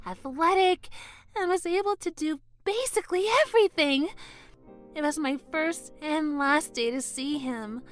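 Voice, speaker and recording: A lovestruck anime teenage girl speaks in a very high voice at an unhurried pace. She is melodramatic and easily flustered, sighing and tripping into stammers. Soft music plays behind her romcom cartoon lines. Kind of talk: anime-style manga dub voice acting.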